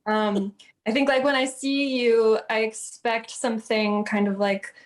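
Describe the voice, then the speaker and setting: A woman's voice: on the high side, steady tone, a little slow. A woman industry guest giving casual, enthusiastic feedback on a performance. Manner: enthusiastic, loose with fillers.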